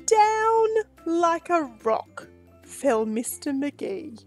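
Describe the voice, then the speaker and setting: High-voiced woman reading a picture book aloud. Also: faint music behind. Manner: expressive, deliberate storytelling. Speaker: storytime host and reader.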